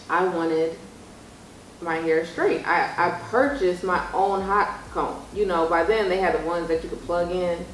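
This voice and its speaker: A young woman telling stories in a casual, chatty vlog style, acting out dialogue as she goes. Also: on the low side. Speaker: vlog host interviewing mother. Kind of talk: casual storytelling chat. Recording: roomy echo with background noise.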